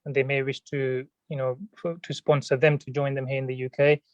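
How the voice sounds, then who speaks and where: A man who is an immigration solicitor, explaining politely and in a measured way as a radio guest. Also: medium pitch, level tone, a little mellow, no echo, dull audio.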